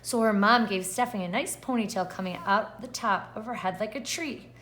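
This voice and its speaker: A woman's voice: mid-range voice, brisk, fairly bright tone, a little hiss or hum. A woman kindergarten teacher reading a picture book aloud expressively and putting questions to the children. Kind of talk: read-aloud lesson for young children.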